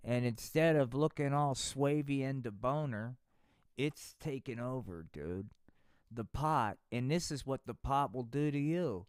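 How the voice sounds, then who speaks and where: A man's voice: mid-range voice, unhurried, clear, resonant tone, no room sound, studio quality. A man narrating a crude improvised puppet show in a slangy stoner drawl.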